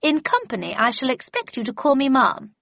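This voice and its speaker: A woman voicing a stern, sharp-tongued, commanding older woman in an audiobook, brusque and brooking no argument. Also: very animated, a little fast, vocal fry, dry recording, muffled recording, exaggerated voice. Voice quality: low growl at times. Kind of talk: audiobook character voice.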